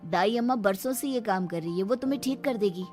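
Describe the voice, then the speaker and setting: A woman's voice: mid-range voice, fairly quick, a little mellow, faint music behind, natural voice. A woman voicing stubborn, traditional village men in a firm, scolding melodramatic story style. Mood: dismissive.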